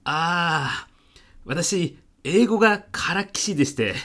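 A man's voice: a little high, lively intonation, slow pace, a bit bright. A man playing an earnest, easily flustered middle-aged salesman in a comedic radio drama. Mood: meek, doubtful. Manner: polite, hesitant, protesting.

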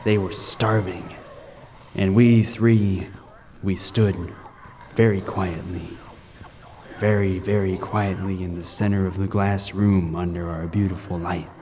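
A man playing a haunted lighthouse keeper, narrating a horror tale in deliberate, dramatic radio-play style. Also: low-pitched, steady tone, mellow, a little hiss or hum. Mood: hushed dread. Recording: long reverb, music underneath.

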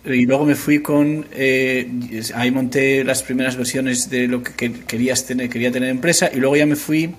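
A man in his forties with an Asturian accent from Spain, telling his story as an interview guest. His voice is slightly husky and sits at an ordinary middle pitch. He talks in a loose, hesitant way, with many fillers and restarts. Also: steady tone, normal speed, few pauses, soft background music. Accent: Spanish, Asturian. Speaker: company founder and guest. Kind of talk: casual interview conversation.

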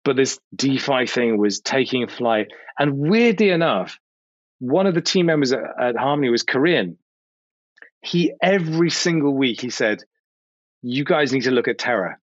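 A man's voice: mid-range voice, expressive, normal speed, no echo. Male podcast guest, British accent, casual anecdotal delivery with quoted dialogue, close dry sound, a touch lo-fi. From United Kingdom. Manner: informal, repeats phrases for emphasis, quotes imagined dialogue. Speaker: podcast guest, video producer. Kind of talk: casual chat, animated anecdotes.

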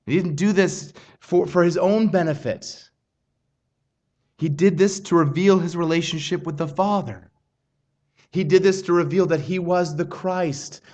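A man preaching a sermon in an earnest, measured way, often restarting his phrases. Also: a little high, darkish tone. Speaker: pastor.

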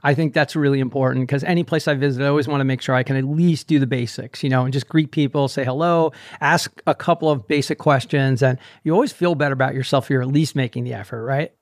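A man's voice: medium pitch, steady tone, fast talker, hardly any pauses, dry recording, studio quality. A man in his sixties hosting a running podcast over a video call, chatty and upbeat. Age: 60s. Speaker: podcast host. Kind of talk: casual interview chat.

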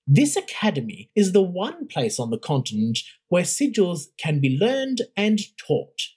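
Narrator playing a stern imperial academy head woman, audiobook, slow and scornful, wide-swinging pitch. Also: a little mellow, husky, heavily acted. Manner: cutting, scornful, deliberate.

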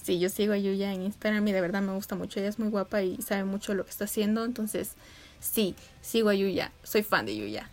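A woman's voice: medium pitch, fairly quick. A young woman, chatting casually and upbeat to her viewers at home. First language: Spanish. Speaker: vlogger. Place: home room with neighbors' animals outside.

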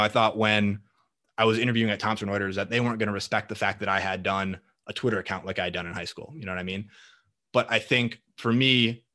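Fast, bright-voiced confident young man. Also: lowish voice. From Minnesota. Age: young adult. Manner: confident, fluent, energetic talker. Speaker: guest, marketing entrepreneur. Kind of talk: podcast interview answers.

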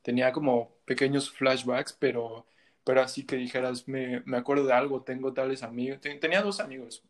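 A young Mexican man talks in a loose, rambling way, full of slang and fillers. His pitch sits in the middle range, and he sounds like a guest musician chatting with an old friend. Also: steady tone, fairly quick. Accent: Mexican Spanish. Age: young adult. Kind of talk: casual chat.

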